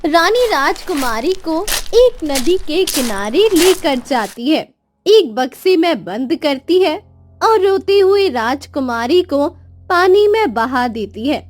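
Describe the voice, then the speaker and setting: Very high-pitched woman narrating slowly. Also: big pitch swings. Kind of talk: scripted children's story narration. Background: music.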